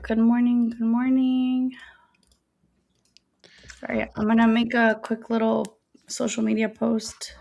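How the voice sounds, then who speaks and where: Very creaky-voiced woman on a trading livestream. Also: fairly high pitch, monotone, takes it slowly, pauses often, darkish tone. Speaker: live trading stream co-host. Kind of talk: casual live market commentary.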